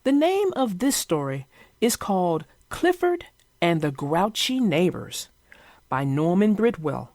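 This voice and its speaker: Female kids' storybook narrator, high and animated, addressing young listeners directly, soft music bed. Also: measured pace. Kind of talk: expressive read-aloud narration for kids.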